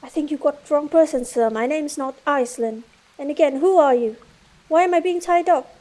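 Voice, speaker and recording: A woman's voice: high-pitched, normal speed, dark tone, natural voice. A woman playing a curious, earnest young student in an amateur radio drama, narrating and acting out her story. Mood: alarmed.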